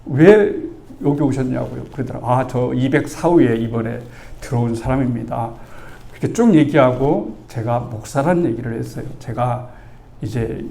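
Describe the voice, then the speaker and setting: A man's voice: medium pitch, normal speed, vocal fry. A man in his sixties, a pastor, preaching a sermon as a chatty personal story, acting out the dialogue and asking the congregation for agreement. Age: 60s. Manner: conversational, asks the congregation for agreement; animated storytelling, acts out the dialogue.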